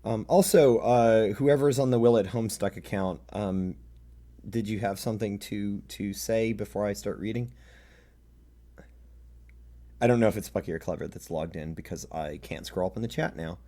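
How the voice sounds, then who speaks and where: A man in his late thirties chatting casually and haltingly with livestream viewers, in a self-deprecating way. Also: dark tone. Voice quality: deep. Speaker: streamer reading own fan fiction.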